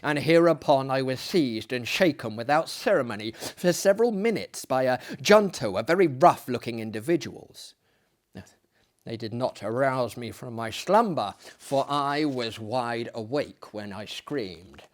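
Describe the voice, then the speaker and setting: A man with a mid-pitched voice delivers in a hammy, theatrical manner. His pitch roams widely and he takes his time, swelling grandly and then sinking into hushes. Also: animated, unhurried. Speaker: reader and host of dramatic readings. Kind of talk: theatrical dramatic reading of a gothic story.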